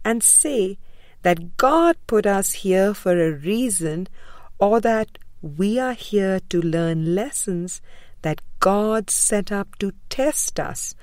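A woman narrates a spiritual audiobook at a slow, deliberate pace, leaving frequent pauses between phrases. Her pitch moves in a lively, expressive way. The recording is dry, with no room sound. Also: no echo. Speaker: audiobook reader. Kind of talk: scripted spiritual book reading.

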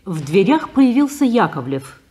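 A woman's voice: medium pitch, big pitch swings, moderate pace, warm tone. Woman reading stage directions. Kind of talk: scripted radio narration.

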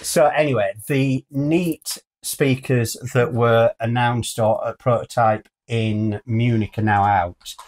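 A man's voice: medium pitch, steady tone, slow, few pauses, darkish tone, low-quality recording. A middle-aged man with a British accent, chatting casually as a show's co-host. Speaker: co-host of a hi-fi news show. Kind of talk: casual chat. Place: small wood-panelled room, indoors.

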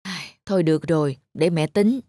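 A woman's voice: low voice, moderate pace, dark tone, lightly acted. A woman playing a patient, self-sacrificing middle-aged village mother in a cartoon. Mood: coaxing. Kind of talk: cartoon voice acting.

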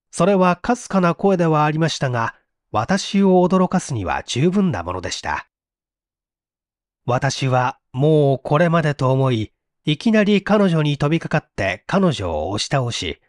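A man's voice: on the high side, no echo, studio quality. A man voicing a remorseful condemned young man giving a solemn, formal confession in an audio storybook. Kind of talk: dramatic monologue in audio storybook.

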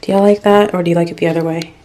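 A woman's voice: on the low side, steady tone, very fast. A young American woman chatting casually for a vlog, thinking aloud in an informal way as she goes. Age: young adult. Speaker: lifestyle vlogger. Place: small tiled bathroom, indoors. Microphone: camera mic at arm's length or propped nearby. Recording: echoey bathroom, clear household clatter noise.

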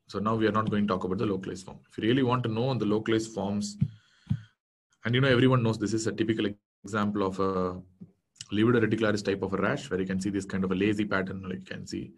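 A man's voice: on the low side, fairly even intonation, fast. A man in his thirties with an Indian English accent, a native Tamil speaker, giving a casual online medical lecture with many fillers and restarts. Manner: explanatory, conversational, many fillers and self-corrections. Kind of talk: online exam-prep lecture. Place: small indoor room.

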